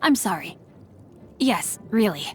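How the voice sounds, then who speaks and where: A woman's voice: mid-range voice, big pitch swings, slow pace, a little mellow. A woman voicing a courteous, bookish teenage boy martial artist in a video game, with refined, eloquent and formal diction. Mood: coy, gentle. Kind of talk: video game character voice lines. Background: music.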